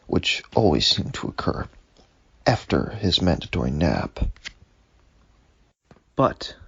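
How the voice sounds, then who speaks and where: Man, deep voice, breathy, dramatic story reading, dry muffled home recording. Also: fairly bright tone, no echo. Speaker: fanfic reader. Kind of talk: reading a fanfic aloud.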